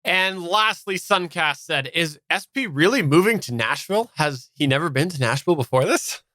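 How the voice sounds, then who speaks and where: A man's voice: fairly high pitch, lively intonation, normal speed, bright, forceful tone, no room sound, studio quality. A man hosting a casual live podcast chat, putting questions and addressing the listeners informally. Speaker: podcast host asking questions.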